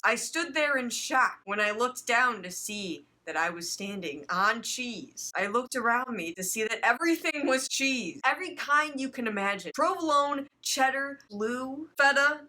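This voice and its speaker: A woman's voice: on the high side, bright, forceful tone. A young woman co-hosting a comic fanfiction reading, informal and mock-dramatic as she narrates and chats. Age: young adult. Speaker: co-host reading fanfiction aloud. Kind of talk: comic story reading with casual banter.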